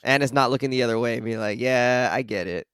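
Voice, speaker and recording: A hoarse, gravelly-voiced man in his forties, hosting a casual podcast chat and laughing often. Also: mid-range voice, quick pace, a bit bright, no room sound. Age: 40s. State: hoarse from coughing. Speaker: podcast host.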